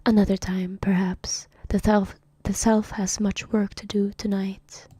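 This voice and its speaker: A woman's voice: medium pitch, little pitch movement, a little slow, husky, dry recording. A woman whispering as a grave ancient being. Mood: solemn. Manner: hushed whisper, formal speech about itself. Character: ancient cosmic male being, solemn and grave. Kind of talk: comic read aloud on stream.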